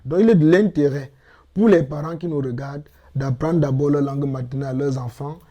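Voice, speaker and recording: A middle-aged man from Cameroon answering interview questions in a measured, explanatory way with emphatic repetitions. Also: a little high, warm tone, lo-fi sound. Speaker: interviewed guest, language teacher.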